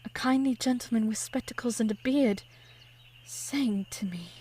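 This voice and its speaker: A woman's voice: a little high, moderate pace, mellow, a little hiss or hum, close to an everyday voice. A woman playing an older, devoted, grieving widow of a composer, telling her story formally and wistfully in a period audio drama. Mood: tender reverence.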